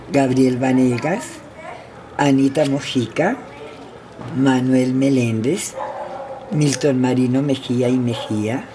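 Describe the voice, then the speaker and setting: Male, slow-paced recollection, noisy recording. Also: mid-range voice. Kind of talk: reminiscing, naming old colleagues. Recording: clearly noisy background.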